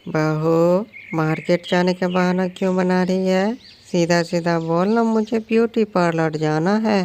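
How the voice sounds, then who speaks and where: Cartoon role: sly, bossy old hen-mother sparrow, high nasal-edged voice, slow and mocking voiced by a man. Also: steady tone, a little mellow, slight background noise. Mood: bossy taunting. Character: scheming nagging mother-in-law sparrow, female, older.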